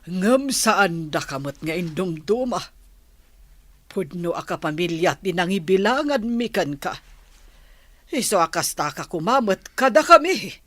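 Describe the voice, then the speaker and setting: A woman's voice: on the low side, sing-song, measured pace, fairly bright tone, full character voice. A woman playing an elderly, protective grandmother and head of a household in a radio drama. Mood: defensive, pleading. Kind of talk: Ilocano radio drama acting.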